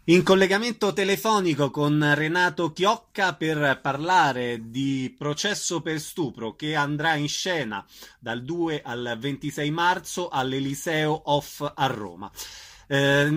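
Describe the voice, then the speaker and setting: A man's voice: a little high, lively intonation, takes it slowly, a bit bright. A man hosting a radio interview in an informal, friendly way.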